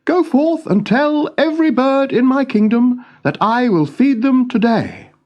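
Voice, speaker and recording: Man as a high-voiced, earnest little king. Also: animated, unhurried, muffled recording. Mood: concerned, decided. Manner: earnest, exclamatory, plain-spoken. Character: kind-hearted, earnest little Norse king. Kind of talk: storybook character voice.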